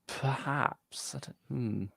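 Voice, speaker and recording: A man's voice: medium pitch, slow, creaky, a bit bright, no room sound. A man chatting casually as co-host of a fan discussion show, thinking aloud a little hesitantly. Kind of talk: casual chat, reading out viewer comments.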